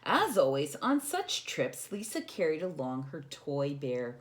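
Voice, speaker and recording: A woman reads a picture book aloud for young children. She takes her time, with a highly expressive, moving pitch. She often turns to her listeners directly in an animated, questioning storytime style. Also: unhurried.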